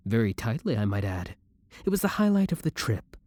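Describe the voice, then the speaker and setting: A man's voice: mid-range voice, big pitch swings, brisk, a little mellow, no echo, lightly acted. A man playing a jealous, menacing young boyfriend in an audio roleplay, biting with his rival and tender with the one he adores. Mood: indignant, boastful. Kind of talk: scripted romantic audio roleplay.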